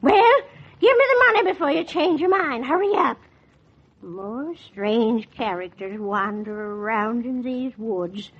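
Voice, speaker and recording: Cranky old cabin woman, high voice, slow and blunt, vintage radio drama sound. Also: lively intonation. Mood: incredulous, impatient. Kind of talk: 1940s radio acting. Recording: dull vintage 1940s radio recording.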